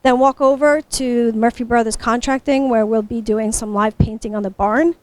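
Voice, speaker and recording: A woman's voice: fairly high pitch, fairly even intonation, normal speed, darkish tone. A woman giving an informal guided rundown of a local event as its presenter. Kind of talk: informal event walkthrough to camera.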